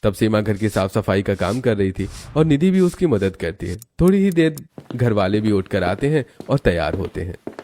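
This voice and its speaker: A man narrates a cartoon family story from a script. His voice sits fairly low. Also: sing-song, moderate pace, dark tone.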